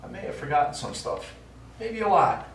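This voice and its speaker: An actor playing a stubborn elderly widower, a war veteran and retired teacher who reminisces and quotes verse in a stage play. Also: male voice, on the high side, very animated, normal speed. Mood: somber. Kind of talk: live stage play acting. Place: small indoor theatre stage. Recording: echoey theatre, distant mic, faint noise.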